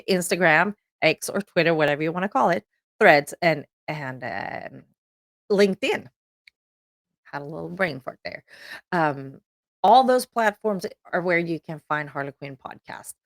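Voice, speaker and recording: Lively Swedish-accented woman host. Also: lowish voice, no room sound, studio quality. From Sweden. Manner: upbeat, chatty, with frequent ums. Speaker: podcast host. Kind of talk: solo promotional announcement.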